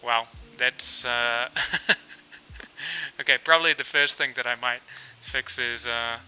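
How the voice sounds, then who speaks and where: Bright-voiced New Zealand man coding live. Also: mid-range voice, slow pace, smooth, soft background music, poor audio. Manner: relaxed, thinks aloud with fillers while working. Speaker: developer livestream host. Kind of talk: casual live coding stream.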